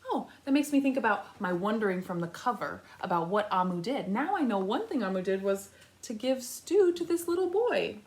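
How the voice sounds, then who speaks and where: Expressive woman teacher reading to young children. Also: mid-range voice, moderate pace. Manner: instructional, speaks directly to young listeners, thinks aloud. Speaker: kindergarten teacher reading aloud. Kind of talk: children's read-aloud lesson with prompting questions.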